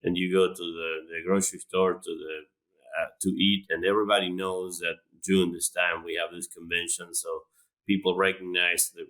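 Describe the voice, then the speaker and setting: Deep-voiced man from Argentina. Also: flat delivery, moderate pace, fairly bright tone, rough recording. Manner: hesitant, many fillers and restarts. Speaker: animal science professor, guest. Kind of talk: casual interview talk.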